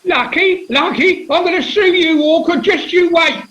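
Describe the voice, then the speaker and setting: Very high, belligerent complaining man acted. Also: moderate pace, fairly bright tone, lo-fi sound. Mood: indignant. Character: belligerent, indignant stranded man full of grievance. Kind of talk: British comedy radio play acting.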